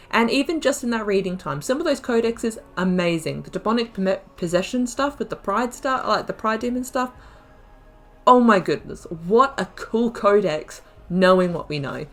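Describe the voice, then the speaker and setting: A woman giving casual, reflective game commentary, chatty and rambling as she thinks aloud, repeating and stressing words. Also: mid-range voice. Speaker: host of a gaming playthrough series.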